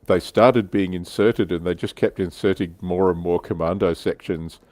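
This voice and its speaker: A man's voice: deep voice, fairly even intonation, moderate pace, a little mellow, no echo. A man hosting a livestream, explaining things conversationally in a casual discussion. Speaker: livestream host. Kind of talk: casual discussion of military history and tabletop wargaming.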